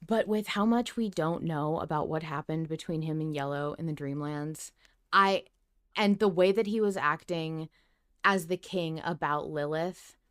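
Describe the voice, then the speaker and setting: A woman's voice: low voice, a little slow, smooth. A woman co-hosting a podcast, chatting casually and emphatically as a fan, with some swearing. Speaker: podcast co-host. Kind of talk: casual fan discussion of an audio drama.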